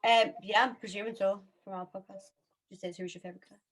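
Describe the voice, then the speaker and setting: A woman's voice: mid-range voice, fairly even intonation, quick pace. Chatty young woman, casual co-host.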